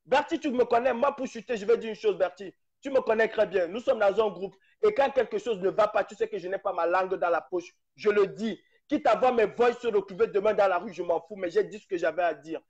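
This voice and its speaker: A man's voice: high-pitched, fairly quick, few pauses. A man speaking passionately on a live stream, hammering points with rhetorical questions and repetition. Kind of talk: impassioned political talk. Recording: dull lo-fi live stream audio.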